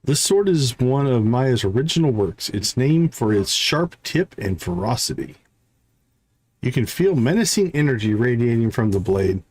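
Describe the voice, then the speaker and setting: A man livestreaming a game, thinking aloud in casual commentary and reading item stats in a deliberate, musing way. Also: medium pitch, very animated, darkish tone.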